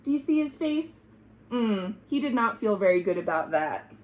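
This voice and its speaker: A woman hosting a children's storytime, reading aloud expressively and pausing to explain words and ask the listeners questions. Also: medium pitch, very animated. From United States.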